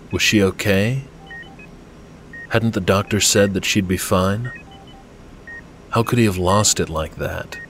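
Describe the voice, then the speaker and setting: A man narrates scripted fiction in a deep voice. He takes his time over each phrase, and there is faint noise behind him. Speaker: audiobook narrator.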